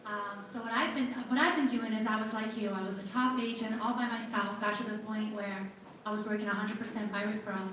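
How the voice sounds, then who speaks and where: A woman agent from Cape Cod asking a question from the audience at a seminar in an energetic, chatty way. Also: mid-range voice, brisk. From Cape Cod, United States. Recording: echoey hall, far from the mic.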